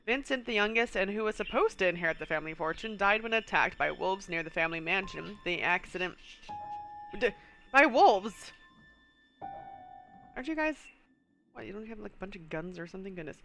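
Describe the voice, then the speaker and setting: Stuffy-nosed streamer muttering over music. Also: female voice, medium pitch, lively intonation, fairly quick, long pauses, sharp tone. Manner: muses aloud, reads in-game text, chuckles often. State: stuffy nose. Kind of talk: casual gameplay commentary.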